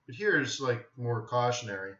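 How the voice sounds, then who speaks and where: Bright-voiced man, casual webinar host, echoey room. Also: mid-range voice, animated, normal speed. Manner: informal, offhand, thinking aloud, often tagging phrases with "right?". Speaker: host of a live trading webinar. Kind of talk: casual live commentary with chat questions. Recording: dull, roomy, echoey webinar audio.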